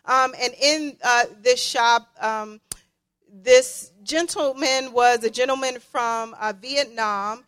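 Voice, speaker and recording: A woman preaching a sermon as a guest, emphatic and given to deliberate repetition for weight. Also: a little high, steady tone, a bit bright, smooth.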